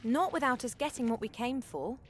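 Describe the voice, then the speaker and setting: Woman playing sarcastic game heroine, curt, dry, high voice. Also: fairly quick, a little hiss or hum, lightly acted. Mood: determined. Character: dry, sarcastic female elf adventurer. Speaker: game character. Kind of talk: adventure game voice acting.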